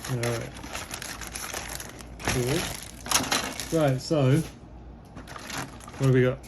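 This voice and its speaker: A slightly hoarse man, losing his voice, giving relaxed, rambling commentary as he unboxes a model kit, with many fillers. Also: mid-range voice, very slow, very noisy.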